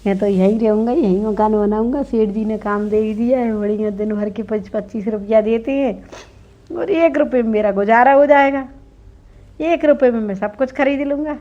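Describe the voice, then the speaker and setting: A woman's voice: on the high side, moderate pace, dark tone, natural voice. A woman voicing a stubborn young village labourer in a folk tale, boastful and defiant. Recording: dull lo-fi recording.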